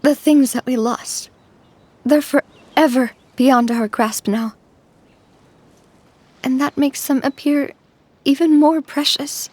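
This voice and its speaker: A woman voicing a young gang boss who speaks softly and in a measured, heartfelt way. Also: sits high, dry recording. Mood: resolute. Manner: soft-spoken, measured, emotional. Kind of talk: game voice acting.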